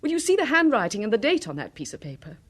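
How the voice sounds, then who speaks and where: A woman playing an anxious, insistent wife in a radio drama, speaking earnestly and with deliberate emphasis. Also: a little high, wildly swooping pitch. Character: anxious, insistent wife convinced her husband lives.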